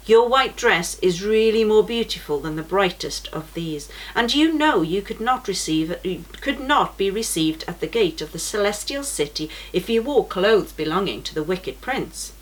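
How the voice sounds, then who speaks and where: A woman voicing a kind young woman in a storybook, gently but firmly correcting a child. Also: mid-range voice, animated, moderate pace, hardly any pauses, close to an everyday voice, poor audio. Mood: gentle. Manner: gentle, firm.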